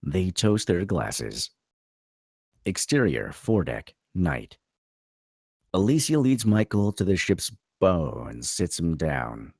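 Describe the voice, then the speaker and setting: A man's voice: deep voice, sing-song, takes it slowly, a little mellow, dry recording, studio quality. A man reading out screenplay stage directions as the narrator of a table read.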